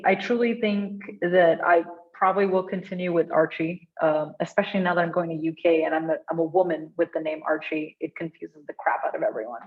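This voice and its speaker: A woman from India with an Indian accent, speaking conversationally and earnestly as she answers audience questions, with expressive, laughter-prone delivery. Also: lowish voice, fairly quick, few pauses. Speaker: guest speaker at a design meetup. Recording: dull, lo-fi online call audio.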